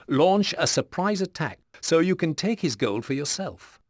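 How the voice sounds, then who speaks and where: A man's voice: fairly high pitch, sing-song, normal speed, no room sound, clean studio sound. A man narrating a military campaign in a video game, formal and solemn, with scripted drama. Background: loud guitar music.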